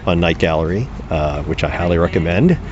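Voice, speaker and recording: A man's voice: deep voice, animated, brisk. A man answering casually as an interview guest outdoors, modest and hesitant, feeling his way through his sentences. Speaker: interview guest, blogger and panelist. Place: outdoors in a park. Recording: dull sound with faint outdoor noise.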